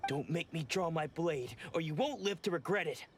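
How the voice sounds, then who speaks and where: A man's voice: a little high, animated, moderate pace, breathy edge. A man voicing a young, protective dojo disciple in a video game, speaking in an earnest, formal way. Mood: hostile. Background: game music.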